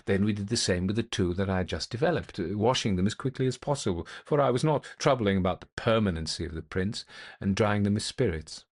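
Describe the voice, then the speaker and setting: A man playing a gentleman investigator who recounts an eerie experience in a fairly low voice. He is methodical and intent, telling his ghost story in measured, formal sentences with deliberate, old-fashioned phrasing. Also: lively intonation. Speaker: narrator.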